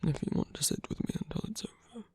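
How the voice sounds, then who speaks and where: A performer of unclear sex voicing a soft-spoken, weary, tender villain lover in a romantic ASMR roleplay, breathy and often whispery, with a hesitant, gently wry delivery. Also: fast talker, no echo, close to an everyday voice. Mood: flirty, resigned.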